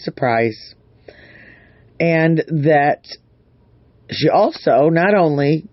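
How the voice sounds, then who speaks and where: A man's voice: fairly high pitch, no echo. A man hosting a true crime vlog, giving casual, opinionated news commentary and repeating words for emphasis. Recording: dull, muffled lo-fi audio.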